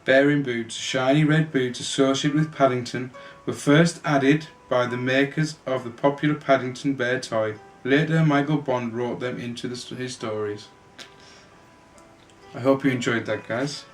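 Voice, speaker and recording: Guy reading a kids story out loud, medium pitch, trips on words a bit, music behind. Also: fairly even intonation, normal speed, low-quality recording. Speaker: amateur storybook reader, a father reading for his family. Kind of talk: read-aloud children's story narration.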